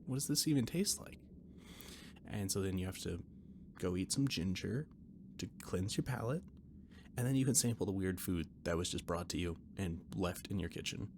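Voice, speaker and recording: Man playing a low, gravelly, flustered catboy cook. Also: animated, moderate pace. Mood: exasperated rambling. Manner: hesitant, stammering, evasive, nerdy rambling. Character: flustered, rambling catboy ship's cook and mechanic, eager friend. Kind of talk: intimate one-sided roleplay audio drama. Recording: noticeable background noise and ambience.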